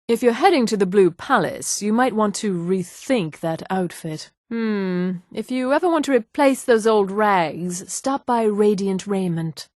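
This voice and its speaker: A woman voicing a haughty, refined high elf townswoman in a video game, with precise, polished, condescending delivery. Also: no echo, studio quality, close to an everyday voice. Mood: haughty, courteous. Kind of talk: scripted game dialogue.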